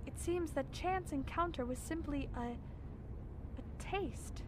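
A woman playing a haunted scholar in a horror radio drama, narrating in a solemn, deliberate, foreboding way. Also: sits high, background noise. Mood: grave. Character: grave, haunted scholar recounting horrors, ominous.

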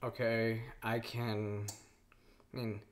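A woman's voice: rumbling low, little pitch movement, takes it slowly. A woman in her thirties hosting a cooking vlog, talking to camera in an earnest, rambling way from her home kitchen. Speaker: cooking show host. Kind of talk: casual to-camera vlog talk. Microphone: camera on the counter at mid distance.

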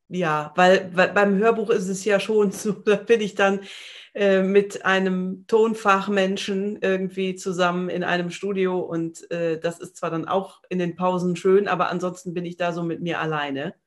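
A woman's voice: on the low side, steady tone, a little slow. A woman, chatting casually as a guest on a video call. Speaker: interview guest, voice actress. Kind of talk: casual chat. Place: home room, video call.

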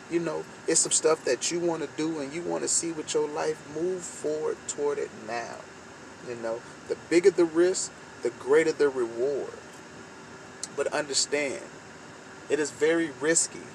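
A young American man giving an informal motivational monologue to camera, with emphatic, repetitive phrasing. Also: a little high. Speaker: vlogger giving motivational talk. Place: inside a parked car at night. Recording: clearly noisy vehicle ambience.

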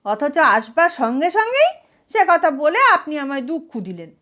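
A woman's voice: sits high, sing-song, normal speed, fairly bright tone. A woman voicing an absent-minded, hapless male thief in a comic storybook reading, chatty and deferential, with an earnest, aggrieved whine. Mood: indignant, hurt. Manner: whining, earnest, addresses listener as sir.

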